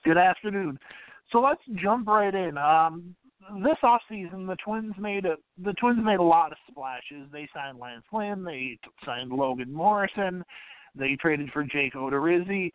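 A man's voice: on the high side, clean tone, dry recording, phone-quality sound. A man hosting a casual sports interview podcast. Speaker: podcast host interviewing a guest.

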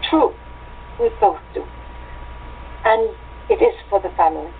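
A woman's voice: mid-range voice, wildly swooping pitch, unhurried, creaky, exaggerated voice. Woman doing a no-nonsense village sister in a radio play, lots of up and down, tinny sound. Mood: resigned, willing. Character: practical, down-to-earth young village seamstress sister. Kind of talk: amateur radio play acting. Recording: tinny phone-like line with hum.

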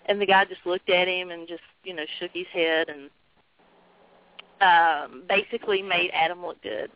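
A woman co-hosting a wrestling talk show, playful and quick to laugh as she chimes in. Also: fairly low pitch, steady tone, no room sound. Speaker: podcast co-host. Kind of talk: casual radio-show banter. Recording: tinny phone-like line.

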